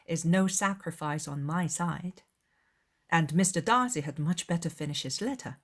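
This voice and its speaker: A woman's voice: deep voice, moderate pace. A woman voicing a witty, spirited young lady in a dramatised audiobook, speaking with pointed, arch politeness. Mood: composed. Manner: articulate, pointed, archly polite. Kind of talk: dramatised audiobook of a classic novel.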